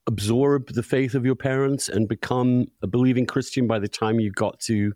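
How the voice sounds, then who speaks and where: A man's voice: medium pitch, fairly even intonation, moderate pace, dark tone, no echo, clean studio sound. A man hosting a podcast, interviewing in a conversational, probing and emphatic way. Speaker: podcast host.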